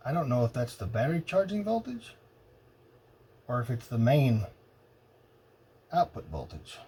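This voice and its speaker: A man thinking aloud in a halting, casual way as he tests electronics at a workbench. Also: mid-range voice, sing-song, dark tone, slightly rough. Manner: muttering, halting, pondering to himself. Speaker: hobbyist demonstrating electronics testing. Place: indoor cluttered electronics workbench. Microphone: old phone used as camera above bench. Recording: noisy phone-camera workshop recording.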